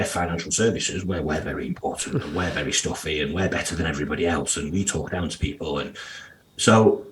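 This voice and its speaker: A man in his thirties with a broad Yorkshire accent and a fairly low voice. He talks candidly and bluntly, dropping slang and the occasional swear word. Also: a bit bright. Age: 30s. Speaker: guest financial planner. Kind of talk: casual podcast interview.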